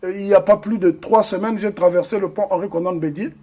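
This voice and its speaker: A man's voice: high-pitched, steady tone, quick pace, dark tone, breathy edge. A man from the Republic of the Congo, speaking as an interview guest in an earnest, emphatic way with frequent hesitations. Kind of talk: interview discussion.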